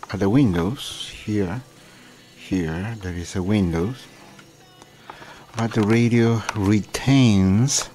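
A man from Chile with a Chilean Spanish accent, narrating an informal radio demonstration in a halting way on a handheld camera. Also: lowish voice, a little mellow. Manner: halting, with many pauses. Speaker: amateur radio operator and vlogger. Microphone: handheld camera's built-in microphone. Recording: noisy handheld camera audio.

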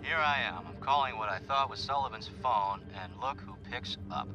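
A man's voice: medium pitch, normal speed, muffled recording, natural voice. An actor playing a smug, cocky male rival who taunts and drawls in a video game cutscene, with film score music behind. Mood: smug taunting.

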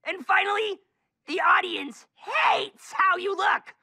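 A man's voice: very high-pitched, animated, slow pace, gravelly, sharp tone, no echo, full character voice. A man voicing a pompous, bitter male couch-potato villain in a cartoon, ranting theatrically and scornfully. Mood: bitter, exasperated. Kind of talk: animated cartoon acting.

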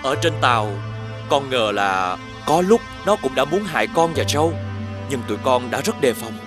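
A man playing a sly prince who sounds dutiful while accusing his brother in an animated fairy tale. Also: mid-range voice, moderate pace, over music, close to an everyday voice. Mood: falsely sincere.

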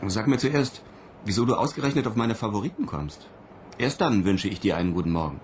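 A man's voice: on the low side, animated, fairly quick, a little mellow, a little hiss or hum, lightly acted. An actor playing a weary, insistent male astronaut commander in a radio play, speaking tersely. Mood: weary, wry. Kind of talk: German radio play acting.

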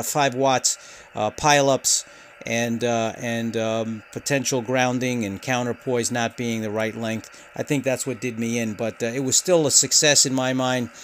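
A man with a medium-pitched voice talks through a casual gear demo. His delivery is halting and hesitant, and there is noticeable noise behind him. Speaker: amateur radio hobbyist reviewing gear. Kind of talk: casual hands-on product demo commentary.

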